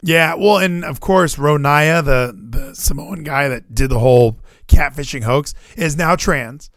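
A man's voice: fairly high pitch, measured pace, no room sound, studio quality. An American man in casual sports chat, rambling and opinionated, swearing freely as he goes. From Hawaii, United States. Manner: rambling, animated, profane, opinionated.

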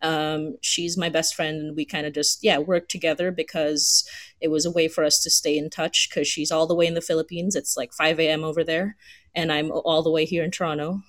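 A woman's voice: low voice, little pitch movement, a little fast, fairly bright tone. A woman podcast creator chatting casually as a panel guest, with an enthusiastic, giggly manner.

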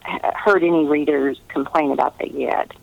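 A woman's voice: low-pitched, steady tone, normal speed, creaky, no echo, highs cut off. A woman novelist chatting casually in an interview, talking in a meandering way with trailing asides.

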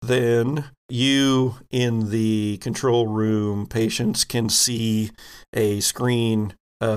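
Slow, low-pitched man with creaky voice. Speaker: game master. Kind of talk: narrating a tabletop sci-fi roleplaying game.

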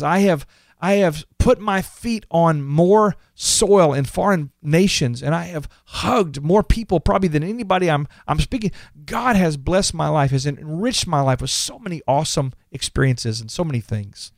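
A man's voice: a little high, no echo, studio quality. A fifty-year-old man hosting a podcast, giving an earnest, reflective and motivational talk that repeats phrases for emphasis. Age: 50s. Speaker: podcast host.

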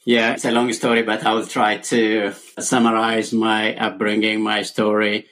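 A man's voice: fairly low pitch, completely flat delivery, moderate pace, a bit bright. A middle-aged man from Ethiopia, a podcast guest earnestly telling his life story in short, repeated phrases.

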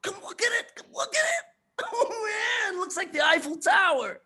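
A man playing a crude, excitable jock son in an improv comedy parody of a family ad. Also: extremely high pitch, normal speed, voice pushed up, exaggerated voice. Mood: proud, excited.

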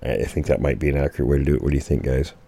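A man co-hosting a casual podcast chat, losing his voice and clearing his throat, with a rambling way of talking. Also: rumbling low, very fast, warm tone, dry recording. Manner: clears throat often, meandering. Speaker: podcast co-host.

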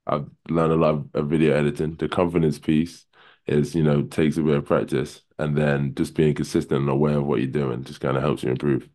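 A man with a deep voice, a podcast guest talking in a relaxed, rambling way full of fillers. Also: brisk. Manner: relaxed, rambling, many "kinda" and "you know". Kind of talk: casual podcast chat.

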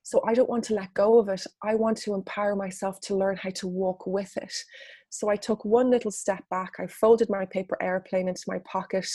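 A woman's voice: mid-range voice, steady tone, fairly quick, dark tone, no echo. A woman coach and author, talking earnestly through a personal story in an interview answer. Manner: earnest, storytelling, frequent restarts.

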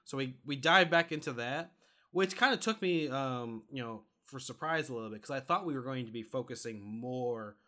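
A man with a bright, forward voice at a middling pitch, talking as a podcast co-host in a casual review. He explains things step by step and often pauses mid-thought as he goes.

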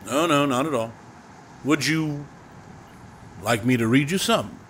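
An actor playing a suave young man in an audio drama, with a measured, grand, deliberate delivery. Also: mid-range voice, fairly bright tone, slight background noise, natural voice. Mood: casual, wry. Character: suave, theatrical young actor reading a novel aloud.

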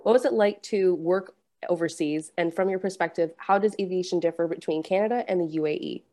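A woman hosts an interview podcast with lively enthusiasm, introducing her guest and asking about her career. Her voice sits a little low and she moves along at a brisk clip. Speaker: podcast host.

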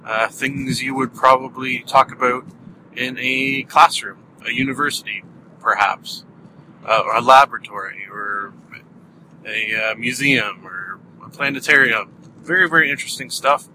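Bright-voiced Canadian man rambling. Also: medium pitch, normal speed, a little hiss or hum, low-quality recording. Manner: rambling, many ums and uhs, chuckles. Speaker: podcast host. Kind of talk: casual solo podcast chat.

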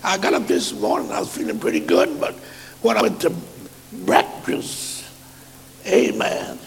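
A hoarse man a sermon in a chanting style that builds into shouts. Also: sits high, wildly swooping pitch, a little slow, in a large hall. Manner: chanted preaching rising to shouts. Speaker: preacher.

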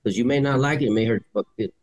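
A man's voice: medium pitch, racing, a little mellow, no room sound. A middle-aged man co-hosting a nursing podcast, talking bluntly with lots of swearing in a casual debate. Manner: blunt, profane, straightforward. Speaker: podcast co-host, nurse.

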